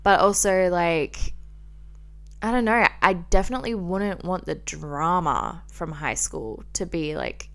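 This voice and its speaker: A woman's voice: mid-range voice, clean tone. A young Australian woman hosting a solo podcast, chatting in an informal, confiding and rambling way peppered with "like". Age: young adult. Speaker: podcast host.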